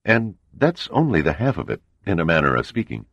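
A man voicing a calm male intelligence official who speaks impassively and evasively. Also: deep voice, fairly quick, creaky, dry recording, lo-fi sound, voice pushed up, heavily acted.

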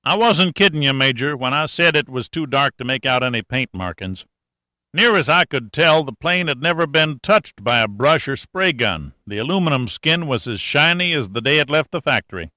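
A man's voice: medium pitch, expressive, moderate pace, sharp tone, no room sound, studio quality, voice pushed up. A man putting on a folksy country-boy sergeant. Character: folksy country-boy male air force sergeant mechanic.

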